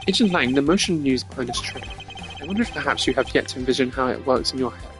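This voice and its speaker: A composed video game prosecutor acted out by a man lays out his case formally and deliberately. His voice is mid-pitched, with music playing underneath. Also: expressive, lightly acted. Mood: confident. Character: composed, cerebral male prosecutor arguing a case.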